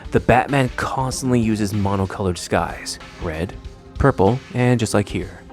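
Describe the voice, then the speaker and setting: A man's voice: fairly low pitch, measured pace, faint music behind. A man delivering scripted narration as the presenter of a video essay.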